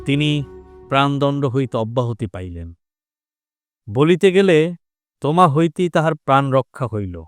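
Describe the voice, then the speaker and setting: A man's voice: medium pitch, expressive, measured pace, long pauses, no echo, studio quality, faint music behind, natural voice. A male actor playing a formal, authoritative caliph in an audio drama. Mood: gracious, pleased. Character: stern, commanding male caliph who can relent graciously. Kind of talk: Bengali audio drama acting.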